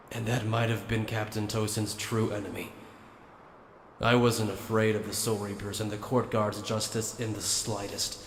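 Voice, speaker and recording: A man's voice: on the low side, natural voice. A man playing an earnest, troubled soldier in an anime fan dub, speaking introspectively. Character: earnest, troubled male soldier reflecting on his justice. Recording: roomy long reverb, faint noise.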